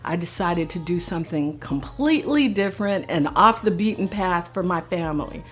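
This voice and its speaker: A woman's voice: lowish voice, lively intonation, normal speed. A woman singer giving a reflective personal interview, telling her own story in conversational language. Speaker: singer in a family group.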